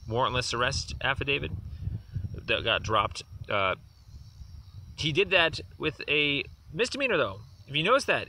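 A man's voice: mid-range voice, bright, forceful tone. Aggrieved man ranting on voicemail. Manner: aggrieved, insistent, repeats himself. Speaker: caller leaving a voicemail. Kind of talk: rambling voicemail complaint. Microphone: phone. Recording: distant voice with faint noise on a phone voicemail.

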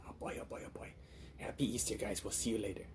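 A man voicing a friendly, childlike little monster puppet, excitable and easily flustered, exclaiming in repeated phrases. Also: fairly low pitch, fast, whispered, close to an everyday voice. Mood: fretful, friendly. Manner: repetitive, wide-eyed exclaiming. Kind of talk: children's puppet show skit.

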